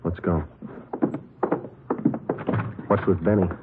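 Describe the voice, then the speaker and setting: An actor playing a terse, world-weary police detective sergeant with clipped, matter-of-fact delivery in an old radio crime drama. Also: male voice, sits low, fairly even intonation, slow pace, very noisy. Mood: businesslike. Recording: muffled old radio recording, music behind.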